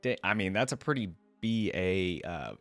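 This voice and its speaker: A man's voice: sits low, expressive, moderate pace, fairly bright tone, soft background music. A man hosting a reaction video, moving between casual commentary and reading aloud in a conversational way.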